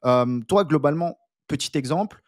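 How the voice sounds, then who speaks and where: A man hosting an online interview, upbeat and energetic as he presents and asks questions. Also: fairly high pitch, moderate pace, no echo, clean studio sound. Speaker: host.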